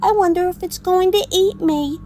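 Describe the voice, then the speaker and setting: Woman doing a high, excitable, brash male sports fan. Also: normal speed, slight background noise. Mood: suspicious musing. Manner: brash, excitable, musing aloud. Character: naive, brash New York basketball fan, a man. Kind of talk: quoted character in audio drama storytelling.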